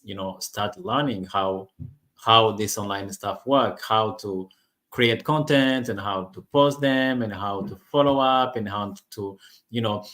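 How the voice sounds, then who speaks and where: A man from Rwanda in his thirties speaks English, which is not his first language, in a voice on the lower side. His casual talk to camera is halting, full of restarts and fillers as he searches for words. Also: a bit bright. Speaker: online business vlogger.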